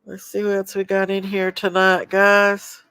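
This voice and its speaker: A woman's voice: medium pitch, completely flat delivery, a little slow, fairly bright tone, smooth, no room sound. A woman, stuffed up with a cold, hosting a casual livestream chat and reading out viewer names. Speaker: livestream host.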